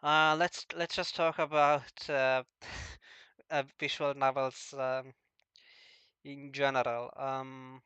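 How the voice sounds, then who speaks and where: Halting man interviewer, crisp and dry. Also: medium pitch, little pitch movement, sharp tone, no room sound, muffled recording. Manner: halting, stammering, unsure. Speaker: interviewer, host. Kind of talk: casual, unscripted interview.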